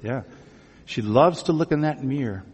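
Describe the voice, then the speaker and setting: A man's voice: mid-range voice, moderate pace, a little mellow, slightly rough. A man speaking as a pastor in a conversational sermon, teasingly putting questions to the congregation and repeating phrases back. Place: large church room before a congregation. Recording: reverberant hall sound.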